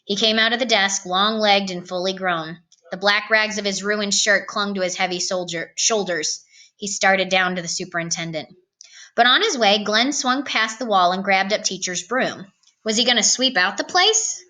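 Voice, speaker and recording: A woman reading a novel aloud in an expressive storytelling style. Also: medium pitch, moderate pace. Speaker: storybook reader.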